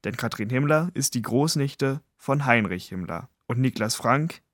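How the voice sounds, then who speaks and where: A man's voice: medium pitch, animated, measured pace, a bit bright, no room sound, clean studio sound. A man carefully reading a prepared report aloud. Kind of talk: read report.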